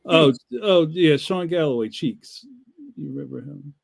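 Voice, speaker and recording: A man co-hosting a podcast, chatting in a relaxed, casual way. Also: on the high side, a little slow, gravelly, breathy edge. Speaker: podcast co-host. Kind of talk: casual interview chat.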